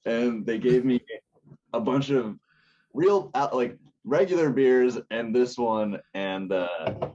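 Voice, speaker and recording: A man's voice: mid-range voice, slow pace, highs cut off. A young man and band vocalist chatting casually on a podcast, rambling and laughing easily. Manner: relaxed, rambling, laughs often.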